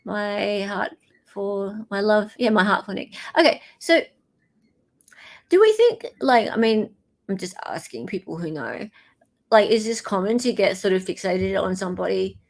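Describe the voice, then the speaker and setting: A woman with a medium-pitched, gravelly, fry-laden voice gives casual commentary as a livestream host. She talks conversationally and repeats her points for emphasis. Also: unhurried.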